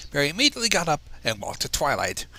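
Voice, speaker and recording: A man's voice: a little high, huge pitch swings, quick pace, background noise. A man reading fan fiction aloud on a livestream in a casual way, stumbling over lines and dropping into asides.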